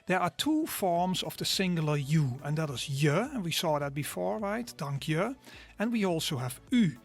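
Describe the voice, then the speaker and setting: A man teaching an online course, explaining slowly and drawing out each example word. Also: on the high side, sing-song, breathy edge, soft background music. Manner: slow, careful explanations with drawn-out example words. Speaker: language teacher. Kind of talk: scripted online course lesson.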